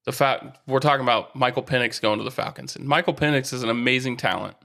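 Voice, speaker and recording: A man's voice: medium pitch, quick pace, vocal fry, pushed and bright. A man co-hosting a sports podcast, chatting casually in an informal, opinionated way.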